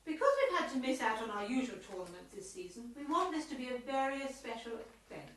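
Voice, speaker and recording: Woman acting a prim, high-pitched club chairwoman, very echoey. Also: expressive, quick pace, fairly bright tone, slight background noise. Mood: officious. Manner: prim, officious, pointed. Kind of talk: amateur radio play comedy acting. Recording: roomy, echoey, distant home recording.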